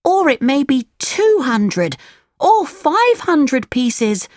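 A woman voicing a naive, earnest, excitable puppet boy in a children's storybook. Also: sits high, drawn-out words, no echo, studio quality, pitched above the performer's own voice, exaggerated voice. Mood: dreamy, excited.

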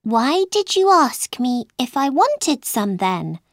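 A polite but outspoken storybook girl, voiced by a woman, questioning and quick to object. Her voice is high and lively, with slow, careful words. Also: no echo, clean studio sound, heavily acted. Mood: puzzled.

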